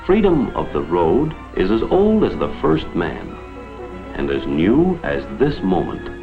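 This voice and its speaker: A man's voice: on the low side, wildly swooping pitch, unhurried, music in the background, heavily acted. An American man delivering scripted 1950s promotional film narration in an earnest, oratorical, dramatic manner. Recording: vintage 1950s film soundtrack, muffled.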